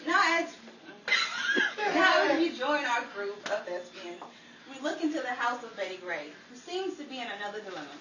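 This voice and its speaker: A woman's voice: fairly high pitch, sing-song, fairly quick. A woman playing a grand announcer, narrating a scripted show in old-time radio style. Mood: grand, cheery. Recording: roomy, distant, noisy amateur recording.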